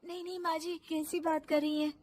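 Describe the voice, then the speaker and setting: A woman voicing a put-upon but cheeky young daughter-in-law in an animated story, with exaggerated delivery. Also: high voice, unhurried. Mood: plaintive. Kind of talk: animated moral story acting.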